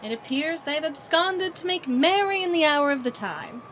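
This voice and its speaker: A woman acting in an audio drama as a scheming aristocrat, speaking formal archaic lines with measured pauses and feigned concern. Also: high voice, animated, a bit bright. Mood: falsely reluctant. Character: scheming aristocrat feigning solicitous friendship, secretly vengeful.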